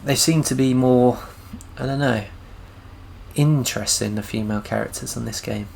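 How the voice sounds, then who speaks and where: A man's voice: mid-range voice, moderate pace, gravelly, darkish tone, a little hiss or hum. A man giving casual gameplay commentary with wry, grumbling asides. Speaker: gaming commentator.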